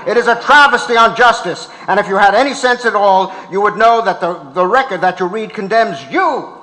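A man's voice: high-pitched, fairly quick, pushed and bright. A man playing a defiant, indignant old activist on trial in a staged reading of a court transcript. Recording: reverberant auditorium.

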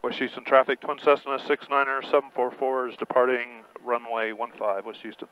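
Fast-talking male pilot on noisy intercom. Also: mid-range voice, little pitch movement. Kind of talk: clipped radio calls and checklist callouts. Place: small propeller plane cockpit. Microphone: headset intercom. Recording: dull noisy cockpit headset audio.

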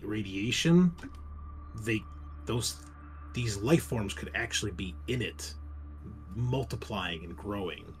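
A man plays a cautious male crewman in a sci-fi roleplaying game. His voice sits a little low and he reports in halting, deliberate phrases. Faint music runs underneath.

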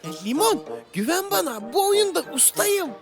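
A woman voicing a stubborn, excitable child in a children's cartoon who is scared but bluffs bravery. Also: extremely high pitch, normal speed, loud music behind the voice, full character voice. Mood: defensive, bluffing. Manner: defensive, excitable. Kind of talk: animated children's cartoon acting.

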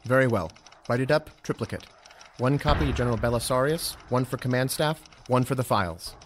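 A man's voice: mid-range voice, a little fast, background noise, lightly acted. An actor playing an earnest, courteous young Roman nobleman and officer in an audio drama. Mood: composed.

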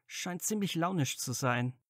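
A man's voice: fairly high pitch, lively intonation, measured pace, a bit bright, dry recording, studio quality. A man playing a caring, curious young wizard and supportive friend in a fan fiction audio drama, casual and earnest.

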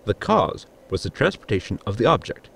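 An actor playing a polite, literal-minded ship's assistant with a gravelly, husky voice, explaining formally in a sci-fi audio comedy. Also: male voice, lowish voice, sing-song, brisk, fairly bright tone, a little hiss or hum, full character voice. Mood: explanatory. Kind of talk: sitcom audio drama acting.

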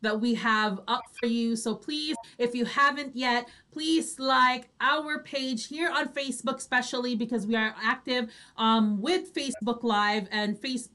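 A woman's voice: a little high, fairly even intonation, unhurried, hardly any pauses, pushed and bright. A woman co-hosting a casual chat, talking in a chatty way and slipping between English and Tagalog. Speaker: co-host. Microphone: video call.

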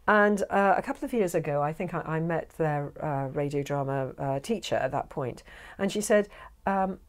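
Animated woman, lowish voice. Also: normal speed. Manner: expressive, animated, gesturing while talking. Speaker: guest, actor and audio director. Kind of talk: casual studio chat. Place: small studio room with sofa, indoors. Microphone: lapel mic.

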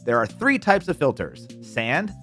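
A man giving scripted how-to narration in a clearly enunciated explainer voice. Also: mid-range voice, wildly swooping pitch, a little slow. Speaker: instructional narrator. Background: soft background music.

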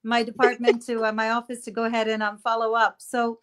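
A middle-aged woman from the United States, a pastor speaking as a guest on a video-call interview, earnest and gentle with frequent fillers. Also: a little high, completely flat delivery, a little fast. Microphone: laptop webcam on a video call.

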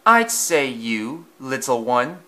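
A man voicing a big, vain, boastful male engine with a grandiose, drawn-out, theatrical manner. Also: medium pitch, very animated, bright, forceful tone, heavily acted. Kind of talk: storybook voice acting.

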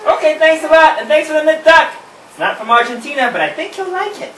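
A performer voicing an anxious, excitable rooster in an amateur puppet show, rambling and flustered. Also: sits high, fast talker, a little hiss or hum. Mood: polite, hesitant. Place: indoor room, puppet stage, far camera. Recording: roomy distant camera audio with music behind.

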